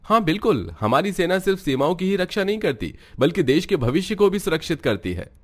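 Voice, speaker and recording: An actor voicing a firm, kind-hearted army major in a cartoon story, speaking with calm authority. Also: male voice, sits high, brisk. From Punjab. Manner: firm, warm-hearted, commanding. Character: kind, upright army major, middle-aged man. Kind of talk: cartoon voice acting.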